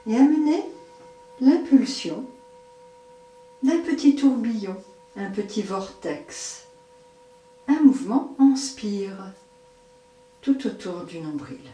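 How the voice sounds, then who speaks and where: A woman leading a guided yoga nidra meditation as an instructor, in measured short phrases with long pauses. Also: on the high side, animated, dark tone, faint music behind. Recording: roomy echo, distant microphone.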